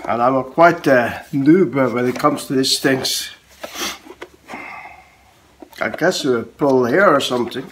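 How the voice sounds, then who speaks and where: Man in his forties, slow unhurried pace, low-key, short halting phrases, congested with a cold, casual home vlog, noticeable background noise. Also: fairly bright tone. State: has a cold. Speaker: hobby YouTuber, host of an unboxing vlog. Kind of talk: casual unboxing chat. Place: small room at home, indoors, on a couch. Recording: clear background noise, handling clicks.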